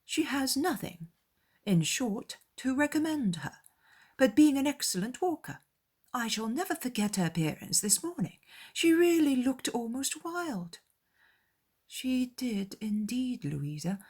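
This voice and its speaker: Woman acting a snobbish, high-voiced society lady. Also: breathy edge, heavily acted. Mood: scornful. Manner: haughty, disdainful, exclaiming. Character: snobbish, gossiping young society lady, mockingly scornful. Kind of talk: dramatized audiobook dialogue.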